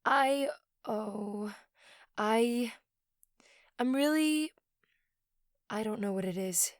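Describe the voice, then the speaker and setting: A woman's voice: on the high side, drawn-out words, no echo, studio quality. Hesitant, downcast teenage girl. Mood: hesitant, low. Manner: hesitant, weary, emotional. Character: anxious, depressed, withdrawn teenage girl. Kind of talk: audio drama acting.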